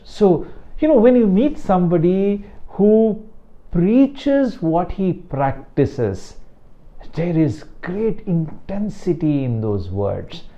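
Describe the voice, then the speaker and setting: An older man from South India with a Tamil-accented English, a meditation teacher speaking slowly and deliberately about his guru. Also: a little high, mellow, muffled recording. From Chennai, South India. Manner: slow, deliberate, emphatic on key words. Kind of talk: reflective talk to camera.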